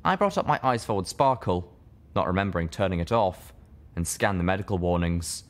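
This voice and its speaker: A man's voice: sits low, lively intonation, a little fast. A man reading a scripted first-person story aloud as its narrator. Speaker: fan fiction reader, narrator.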